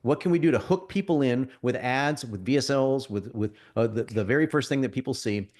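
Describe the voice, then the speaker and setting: A man getting over a cold, presenting a live marketing software demo to an audience in a conversational, salesy way, often restarting his sentences. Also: mid-range voice, a little fast.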